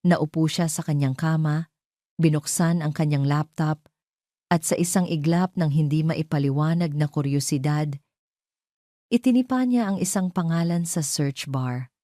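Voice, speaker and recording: Low, mellow woman storyteller. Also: unhurried, dry recording, studio quality. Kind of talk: dramatic scripted narration of a romance audio drama.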